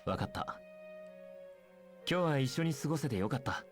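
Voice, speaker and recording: An actor plays a friendly, curious young man, a soldier in a video game. He speaks very rapidly and casually, his pitch moving in a lively way. Soft music sits behind the voice. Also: natural voice. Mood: calm, considerate. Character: easygoing, curious, considerate young male soldier. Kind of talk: Japanese video game voice acting.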